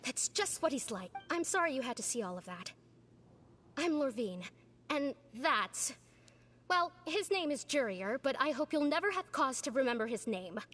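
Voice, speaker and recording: A woman's voice: sits high, sing-song, normal speed, background noise. An actress playing a courteous, apologetic young woman in a game. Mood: polite.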